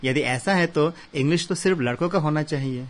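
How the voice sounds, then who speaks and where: A man from Arunachal Pradesh, a Hindi professor and poet, telling his story as a radio interview guest in an earnest, steady way with many fillers. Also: a little high, a little fast.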